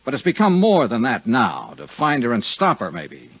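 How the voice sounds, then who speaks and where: A man's voice: mid-range voice, wildly swooping pitch, normal speed, breathy edge, heavily acted. An American actor playing a tough, world-weary but humane male insurance investigator in a 1950s radio detective drama, terse and pointed. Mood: earnest, hard. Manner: terse, hard-boiled, pointed. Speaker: insurance investigator hero and narrator. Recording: dull, lo-fi 1950s radio recording.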